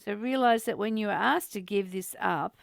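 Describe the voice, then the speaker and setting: Creaky-voiced woman, close dry sound. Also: medium pitch, normal speed, no echo. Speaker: spiritual study host. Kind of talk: casual reflective discussion.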